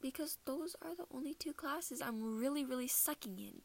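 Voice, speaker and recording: A woman's voice: high voice, lively intonation, normal speed, gravelly. A teenage girl from Minnesota chatting casually about her month, rambling and laughing often. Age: teens. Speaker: podcast host. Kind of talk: casual personal chat.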